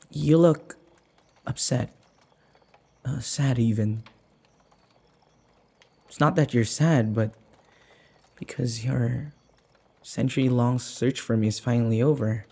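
Man acting a very breathy, timid, submissive young man. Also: mid-range voice, dark tone. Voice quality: breathy, husky. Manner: halting, stammering, hesitant. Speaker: audio roleplay voice actor. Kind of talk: intimate boyfriend audio roleplay.

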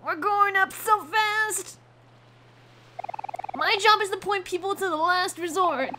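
A woman voicing a cheerful, eager young boy from a video game, with a squeaky, strained, exuberant delivery. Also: slow pace, noisy background.

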